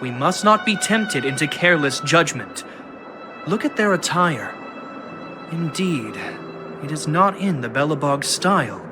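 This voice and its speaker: Stern game captain of the guard, formal and bossy, pretty high voice, music behind him. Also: male voice, lively intonation. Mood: measured, solemn. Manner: formal, commanding, measured. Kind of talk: dramatic video game voice acting. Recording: music under the voice.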